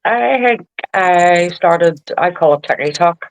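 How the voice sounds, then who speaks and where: A woman's voice: fairly low pitch, animated, slow pace, low-quality recording. A woman from Belfast with a Belfast accent, talking casually as a guest in a podcast interview. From Belfast, Northern Ireland. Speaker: podcast guest, social media creator.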